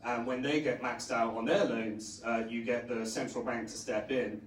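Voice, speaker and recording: A hoarse, middle-aged man speaks from a conference stage, pausing on fillers as he talks. He sits at an ordinary pitch, and his voice carries across a roomy hall, picked up from some distance with a faint hiss behind it. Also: fairly even intonation. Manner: rambling with many fillers. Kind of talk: conference talk. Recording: echoey hall, distant mic, faint noise.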